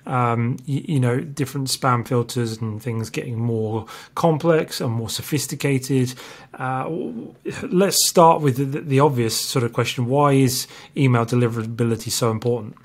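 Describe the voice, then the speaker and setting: Gravelly, husky male podcast host. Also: mid-range voice, moderate pace. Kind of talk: conversational interviewing.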